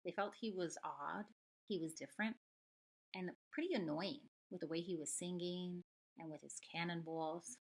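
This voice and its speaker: A woman elementary school teacher reading a story aloud and talking to children, expressive and full of questions. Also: lowish voice, slightly rough. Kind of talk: children's read-aloud and lesson.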